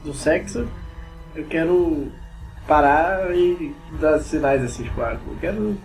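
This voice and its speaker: A young Brazilian man talks casually as a player at a tabletop game. His voice sits somewhat high for a man, and there is music in the background. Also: slow, a little mellow.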